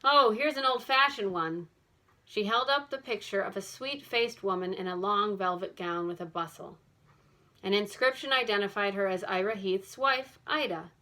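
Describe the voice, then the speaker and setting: A woman's voice: medium pitch, normal speed, sharp tone. A woman reading scripted narration from a children's mystery novel as an audiobook narrator.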